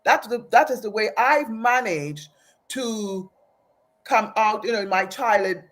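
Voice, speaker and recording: A woman's voice: fairly high pitch, animated, unhurried, a bit bright. A woman from Aruba, raised in Trinidad, telling her personal story as an interview guest in an earnest, reflective way and often restarting phrases.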